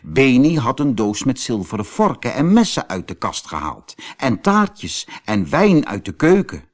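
A man narrating a children's fairy tale audiobook in an expressive storytelling manner. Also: medium pitch, measured pace.

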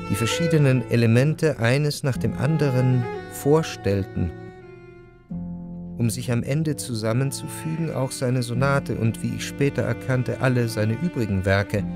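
An actor playing a reflective, refined writer narrating his memories in a measured, literary radio drama manner. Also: mid-range voice, creaky. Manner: measured, contemplative. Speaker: first-person narrator. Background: music behind the voice.

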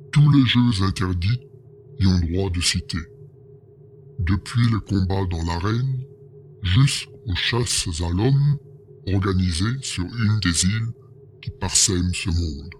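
Low slow space agent, grave and measured, a man acting; faint hum. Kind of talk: amateur audio drama acting.

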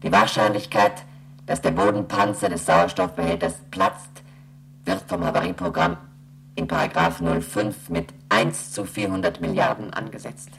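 An emotionless space station computer in a radio play, extremely low and creaky. It recites regulations in a formal, literal monotone, with faint noise behind it. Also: female voice, normal speed, rough recording. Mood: impassive. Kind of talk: German radio play acting.

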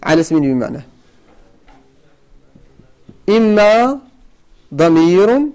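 A middle-aged Moroccan man with a high voice and a very animated, swooping pitch teaches a grammar lesson. He speaks with a Moroccan accent, checks whether his students follow, and repeats each point several times to drive it home. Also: takes it slowly, clean tone. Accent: Moroccan Arabic. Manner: questions the students often, repeats points for emphasis. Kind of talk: classroom lesson.

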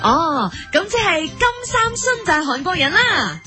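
A woman's voice: very high-pitched, big pitch swings, measured pace, music in the background, heavily acted. A woman playing a bubbly, silly young children's TV host with a cutesy sing-song delivery and a Hong Kong Cantonese accent. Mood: chirpy, bubbly. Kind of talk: parody children's programme presenting.

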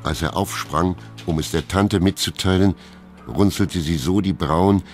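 An actor as the narrator of a radio play, telling a literary story in a calm, detached manner. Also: male voice, low-pitched, a little slow, soft background music.

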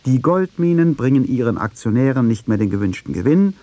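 A man's voice: mid-range voice, big pitch swings, brisk, dark tone, no echo. A man narrates a scripted radio feature, arguing his points. His voice is mid-range and recorded very close and dry, in a studio.

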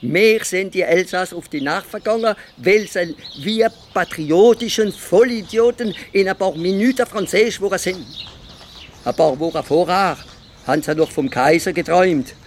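Male actor for idealistic, sardonic Alsatian journalist in radio drama, high voice, colloquial dialect, faint outdoor noise. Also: moderate pace, natural voice. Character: sardonic patriotic Alsatian newspaper editor, idealistic friend. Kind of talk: radio play dialogue.

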